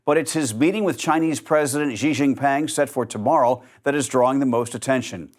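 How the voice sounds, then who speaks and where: A man reading scripted news as the anchor, in a measured, even newsreader delivery. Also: medium pitch.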